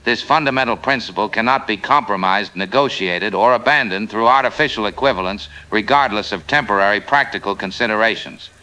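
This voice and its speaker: A man's voice: lowish voice, brisk, few pauses, bright, forceful tone, low-quality recording. A man playing an unyielding, defiant coalition spokesman, speaking in a formal, rigid, declamatory way in an audio drama. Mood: defiant, resolute. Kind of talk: scripted audio drama political statement.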